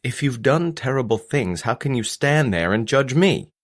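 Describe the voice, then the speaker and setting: A man's voice: medium pitch, huge pitch swings, unhurried, slightly rough, voice pushed up, exaggerated voice. A man voicing a grown son in an audiobook who is proud, hurt and challenging, with an earnest, pressing way of talking.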